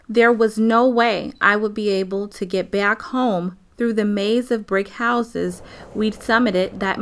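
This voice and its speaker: A woman playing a grown-up storyteller who looks back on her childhood in a radio play, measured and wry. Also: medium pitch, bright, forceful tone. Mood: nostalgic.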